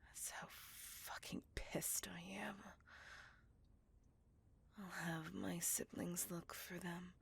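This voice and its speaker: A woman voicing an intense, possessive, centuries-old female vampire in a dramatic, breathy ASMR roleplay, often dropping into a whisper. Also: fairly low pitch, fairly bright tone, heavily acted.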